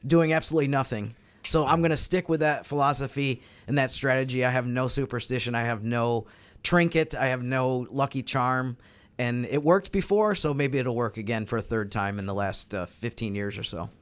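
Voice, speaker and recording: Male sports podcast panelist, medium pitch, conversational delivery. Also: moderate pace.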